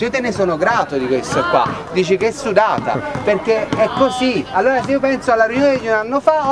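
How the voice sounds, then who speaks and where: Earnest man addressing an outdoor crowd. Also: sits high. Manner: earnest, rhetorical questions, repeats himself. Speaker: activist at a public meeting. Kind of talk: impassioned speech to a crowd. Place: outdoor square at night, city. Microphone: far camera. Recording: crowd murmur outdoors, camera mic.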